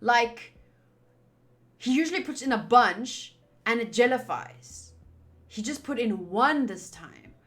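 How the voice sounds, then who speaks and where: A woman's voice: on the high side, expressive, slow pace, pauses often, fairly bright tone, slightly rough. A woman streamer reading visual novel narration aloud and adding casual commentary.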